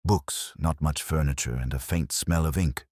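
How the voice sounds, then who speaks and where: Very deep-voiced man narrating a mystery. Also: darkish tone, no room sound, studio quality. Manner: measured, literary storytelling. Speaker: audiobook narrator. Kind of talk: scripted mystery story narration.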